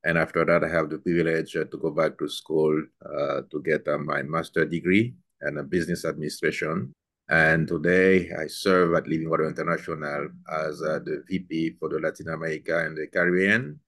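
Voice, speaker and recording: A man with a remarkably deep voice, talking in a measured, halting way with frequent filler pauses. The recording is dry and close, with almost no room sound. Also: steady tone, a bit bright, no room sound. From Haiti. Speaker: regional vice president. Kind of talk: podcast interview.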